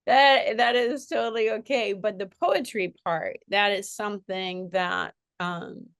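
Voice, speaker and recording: A woman's voice: medium pitch, fairly even intonation, unhurried, fairly bright tone, no echo, studio quality. A woman moderating a panel conversation, speaking reflectively with frequent restarts and fillers. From partly raised in Switzerland. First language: bilingual, French second language.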